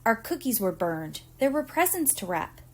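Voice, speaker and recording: A woman reading a picture book aloud to young children in an expressive, theatrical storytelling manner. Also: a little high. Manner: deliberate, theatrical storytelling with acted emphasis. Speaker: preschool teacher reading aloud.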